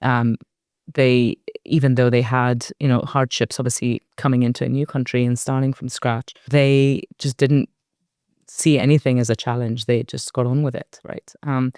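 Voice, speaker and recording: A woman in her forties from Iraq, a company board director, talking reflectively as a guest in an interview, measured and thoughtful with soft fillers. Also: a bass voice, flat delivery, clear, resonant tone, dry recording, clean studio sound.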